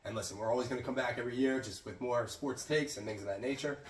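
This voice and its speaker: A man hosting a casual sports chat, raspy and hoarse from a cold, chatty and prone to restarts. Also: medium pitch, fast talker, roomy sound, far from the mic. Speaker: host.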